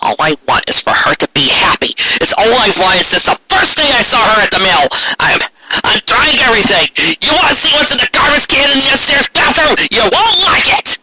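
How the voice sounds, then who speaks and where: A man's voice: very high voice, big pitch swings, a little fast, noisy background, pitched above the performer's own voice. A man voicing a gangly, earnest stallion son-in-law, nervous but fiery, with a stammering, impassioned delivery in a fan fiction story. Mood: desperate, ranting. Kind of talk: amateur fan fiction audio reading.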